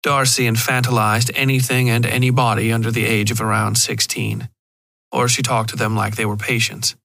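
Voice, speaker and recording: A man's voice: medium pitch, level tone, moderate pace, gravelly, a bit bright, dry recording. A man reading scripted first-person horror fiction as a podcast narrator, close to the microphone. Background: faint music.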